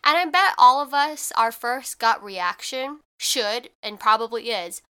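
A woman's voice: a little high, lively intonation, unhurried, pushed and bright, clean tone. A young woman hosting her podcast in casual chat, congested and a little nasal, talking in a chatty way with lots of "like". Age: young adult. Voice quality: more nasal than usual. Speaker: podcast host.

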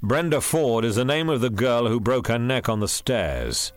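A man's voice: medium pitch, animated, moderate pace, close to an everyday voice. A man reading a storybook voices a stern, reproachful male householder with a firm, scolding manner. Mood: grave warning.

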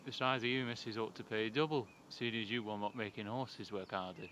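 Actor as a grumbling, insolent passenger in heavy noise. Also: male voice, on the low side, fairly even intonation, brisk, lightly acted. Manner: grumbling, outspoken. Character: blunt, insolent working-class Georgian coach passenger. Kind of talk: period audio drama acting.

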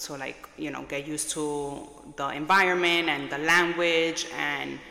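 A woman school social worker, a native Spanish speaker raised partly in Puerto Rico, recalling her childhood in a soft, hesitant way with many fillers. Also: sits low, fairly even intonation, measured pace, vocal fry, reverberant, long reverb tail. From New York, with childhood in Puerto Rico. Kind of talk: interview, personal recollection.

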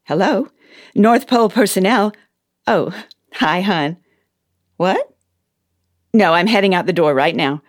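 An actress playing a patient, caring elf personnel boss in an audio play, measured and gently wry. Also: female voice, medium pitch, expressive, dry recording, clean studio sound, close to an everyday voice. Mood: brisk, wry.

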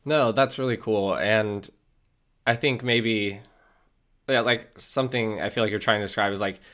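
A man's voice: lowish voice, fairly even intonation, moderate pace, a bit bright. A young man hosting a sports podcast, chatting casually and enthusiastically, full of "like" and "super". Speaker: podcast host. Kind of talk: casual interview chat.

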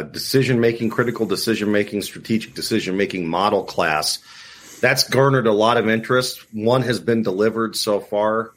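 A man's voice: fairly low pitch, a bit bright. A man hosting a podcast, putting interview questions to his guest in an informal spoken style. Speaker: podcast host asking questions.

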